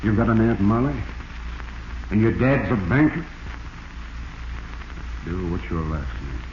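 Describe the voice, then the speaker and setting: An actor playing a middle-aged long-term convict, a wary, knowing mentor with measured speech, in 1940s radio drama style. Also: male voice, on the low side, very animated. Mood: probing. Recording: dull 1940s radio recording with noise.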